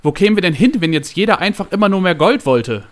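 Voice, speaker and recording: A man voicing a greedy, bombastic trading company boss in an audiobook, pompous and forceful in manner. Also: a little high, animated, brisk, pitched above the performer's own voice, natural voice. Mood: outraged. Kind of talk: dramatic audiobook storytelling.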